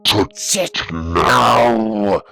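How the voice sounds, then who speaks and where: A man's voice: medium pitch, sing-song, measured pace, a bit bright, slightly rough, pitched above the performer's own voice, exaggerated voice. A man voicing a boastful, menacing male rabbit bully, swearing and swaggering.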